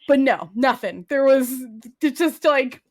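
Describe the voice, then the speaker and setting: A woman's voice: high voice, animated, a little slow, a little mellow. A young woman co-hosting a podcast, chatting casually about a comedy show she is enjoying, rambling and full of fillers. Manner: rambling, full of "like", breaks into laughter. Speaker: podcast co-host. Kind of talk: casual chat recommending shows.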